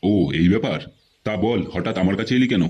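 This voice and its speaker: A man's voice: lowish voice, a little fast, a little mellow, lo-fi sound, slight background noise, natural voice. A man voicing a blunt, nosy adult village man in a Bengali comedy cartoon, asking direct questions. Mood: friendly, curious.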